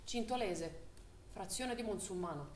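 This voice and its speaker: A woman's voice: mid-range voice, lively intonation, a little slow. A woman narrating a scripted war drama as a stage actor, telling the events dramatically. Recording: echoey distant stage recording, faint noise.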